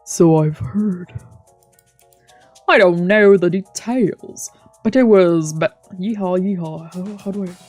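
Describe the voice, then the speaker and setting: A woman with a fairly low voice reads a visual novel aloud as a streamer. Her pace is slow, with stumbles and casual, playful asides, over faint game music. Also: a little mellow, no echo. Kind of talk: let's play reading with casual reactions.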